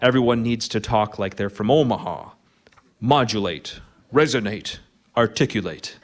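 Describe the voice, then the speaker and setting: A man's voice: on the low side, lively intonation, normal speed. A middle-aged man with a Minnesota accent, hosting a live radio show from a barn with a dry, wry comic monologue. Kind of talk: humorous monologue to a live audience.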